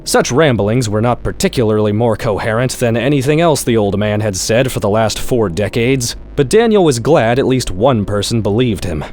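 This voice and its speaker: A man's voice: medium pitch, lively intonation, moderate pace, few pauses, darkish tone, a little hiss or hum. A man reading a scripted short story in a wry, sardonic storytelling manner, like a horror-comedy audiobook. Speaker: author-narrator reading own short story.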